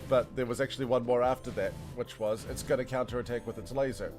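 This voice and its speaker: Chatty guy streaming a game, rambles and laughs a lot, music going clearly under him. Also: medium pitch, steady tone, fast. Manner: chatty, rambling, laughs often, talks to chat. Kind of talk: casual gameplay commentary.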